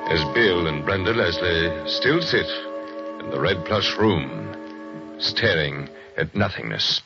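An actor playing a grave male storyteller, narrating a 1940s radio mystery in a slow, measured, ominous way. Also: low-pitched, expressive, vocal fry, exaggerated voice. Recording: muffled 1940s radio broadcast with music.

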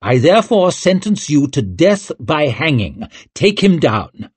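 A man's voice: mid-range voice, very animated, slow pace, breathy edge, exaggerated voice. A man voicing an angry young king in an audiobook, icy, imperious and snapping out commands. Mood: icy judgement. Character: angry young king, cold, imperious, passing stern judgement.

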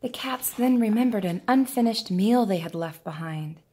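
A woman reading a children's picture book aloud in a deliberate, expressive storytelling manner. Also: medium pitch, slightly rough. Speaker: preschool teacher reading aloud.

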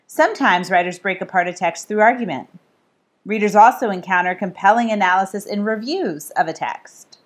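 Woman, average pitch, author read-aloud, scripted nonfiction, measured delivery. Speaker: author reading aloud from own book.

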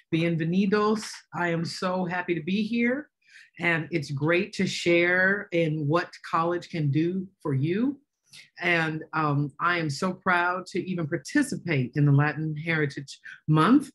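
A woman college vice president giving earnest welcome remarks over a video call, speaking with pauses. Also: on the low side.

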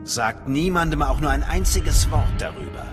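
An actor playing a cool, cocky and menacing male dark-side acolyte in a video game, clipped and self-assured. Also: on the high side, animated, normal speed, music in the background, lightly acted. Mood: cool, confident. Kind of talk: video game voice acting in German.

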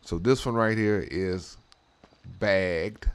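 A man's voice: deep voice, takes it slowly. A man hosting casual game role-play commentary in a slangy, rambling way, leaning on conversational tag phrases. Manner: slangy, rambling, frequent "you know what I'm saying". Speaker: host of a drag racing role-play channel. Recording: clear background noise.

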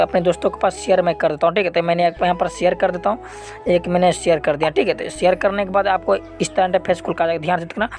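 A man hosting an unscripted app tutorial, repeating himself and often adding check-in tags. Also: sits high. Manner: repetitive, with frequent check-in tags. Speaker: app tutorial video host. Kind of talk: unscripted screen-recording tutorial.

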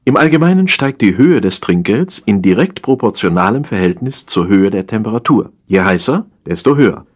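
A man narrating a humorous satire as an audiobook reader, in a wry, theatrical storytelling manner with drawn-out emphasis. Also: on the low side, very animated.

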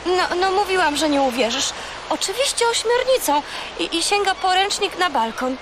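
A woman's voice: very high voice, very animated, moderate pace, background noise. A woman playing a brave, imaginative thirteen-year-old girl, eager and childlike, in a children's audio drama. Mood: earnest, excited.

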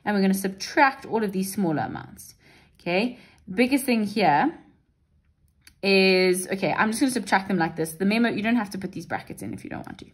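A woman tutor talks through exam questions step by step at her desk. She sounds chatty and reassuring, often checking in with her students. Her voice sits in a middle pitch. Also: expressive, fairly quick. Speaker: maths teacher. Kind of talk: exam paper walkthrough lesson. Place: indoors at a wooden desk.

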